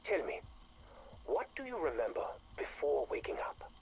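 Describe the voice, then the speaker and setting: An actor playing a calm male scientist who logs his work in a measured, clinical way and speaks gently to his creation. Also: fairly high pitch, creaky, husky, heavily acted, rough recording.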